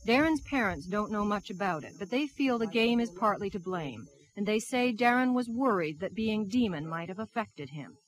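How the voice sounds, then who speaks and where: A woman reporter delivers scripted documentary narration for television news. Her pitch sits in the middle range, and her delivery is measured and built like a dramatic story. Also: lively intonation, low-quality recording.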